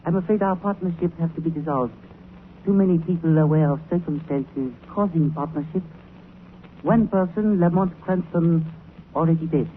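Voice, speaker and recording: Man acting a high-pitched, falsely polite radio villain. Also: normal speed, phone-quality sound, lightly acted. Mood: coldly menacing. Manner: stilted, clipped broken English, over-polite. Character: scheming, falsely polite foreign antiques-dealing villain. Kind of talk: 1940s radio drama acting. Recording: scratchy, band-limited 1940s radio recording.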